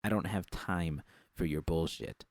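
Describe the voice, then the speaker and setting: Man voicing a brash, deep-voiced female bar boss. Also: expressive, a little slow, vocal fry, no room sound, clean studio sound, heavily acted. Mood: angry. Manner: curt, huffy, bossy.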